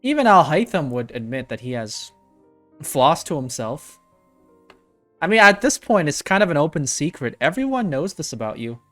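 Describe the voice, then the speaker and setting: A man's voice: fairly high pitch, very animated, moderate pace, sharp tone. Young man reacting casually, music behind. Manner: informal, slangy, addresses the characters directly. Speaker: gaming reactor. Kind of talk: casual playthrough reaction commentary.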